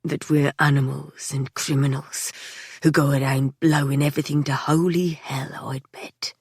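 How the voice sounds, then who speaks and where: A smug, menacing gang leader drawls low and unhurried, as a woman narrator puts him on,. The voice sits low and dry, right up against the mic. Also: level tone, darkish tone, husky, dry recording. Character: calm, menacing man, smoky drawl, arrogant gang leader.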